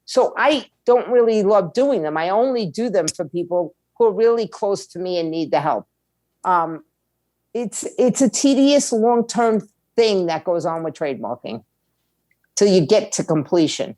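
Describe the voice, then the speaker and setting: A woman's voice: medium pitch, lively intonation, a little slow, dark tone, no room sound. A woman speaking as a guest expert in an interview, explaining things in a plain-spoken, emphatic, conversational way. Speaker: guest, music attorney and indie label owner.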